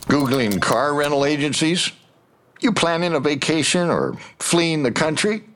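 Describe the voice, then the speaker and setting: A man's voice: on the high side, measured pace, fairly bright tone, a little hiss or hum. A man playing a smug, persistent investigator in an audio drama, pointed and goading as he probes. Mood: needling. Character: needling, probing male investigator, smug and persistent. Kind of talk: full-cast audio drama acting.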